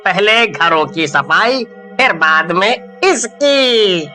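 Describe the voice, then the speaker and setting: Man playing a cocky, high-pitched thieving junk dealer. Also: animated, very slow, sharp tone, faint music behind, heavily acted. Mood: scheming. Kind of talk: Hindi animated horror story acting.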